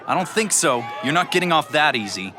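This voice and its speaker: An actor playing a taunting, swaggering schoolboy bully in a family audio drama. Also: male voice, on the high side, very animated, a little fast, loud music behind the voice. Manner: jeering, swaggering.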